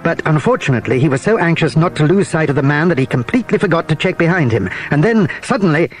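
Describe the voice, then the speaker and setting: A man's voice: a little high, lively intonation, fast, rough recording. A man narrating a children's story as a scripted, dramatised audiobook.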